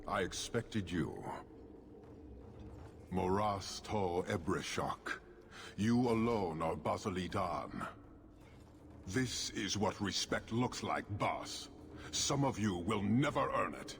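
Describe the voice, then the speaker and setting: A towering horned warlord in a game cutscene, his voice extremely deep and gravelly, rolling out slow, formal, commanding words. The pitch swings widely for all its depth, and a layer of noise sits under the game audio. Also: expressive, exaggerated voice. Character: towering horned warrior leader, stern and proud. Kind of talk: video game cutscene acting.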